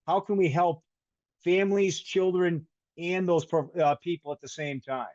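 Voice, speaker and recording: A man's voice: a little high, fairly even intonation, normal speed, darkish tone, dry recording. An older man with a Boston accent presenting a live webinar, rambling with restarts and a joking tone. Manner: rambling, restarts and self-corrections, jokey. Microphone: video call microphone. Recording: dull webinar call audio.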